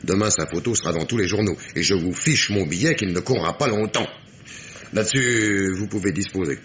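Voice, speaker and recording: An actor playing a sardonic, dogged police inspector in a French radio mystery play, with ironic, emphatic diction. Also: male voice, deep voice, a little fast, fairly bright tone, a little hiss or hum. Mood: ironic, stern.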